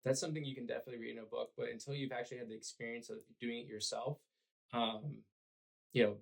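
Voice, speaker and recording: A man, hoarse and raspy from cheering, talking earnestly about his work as a podcast guest with lots of fillers. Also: mid-range voice, poor audio. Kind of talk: conversational podcast interview.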